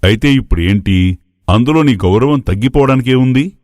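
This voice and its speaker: A man's voice: medium pitch, lively intonation, moderate pace, a little mellow, dry recording, clean studio sound, natural voice. A man playing a wise, patient elderly adviser in a moral story, speaking in a didactic, reassuring way. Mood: patient advising.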